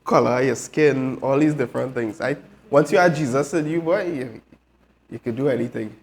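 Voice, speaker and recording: A man's voice: medium pitch, normal speed, vocal fry, slight background noise. A man talking casually on a live talk show, telling personal stories and speaking about his faith. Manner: storytelling, laughing, faith-focused. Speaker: show regular sharing testimony.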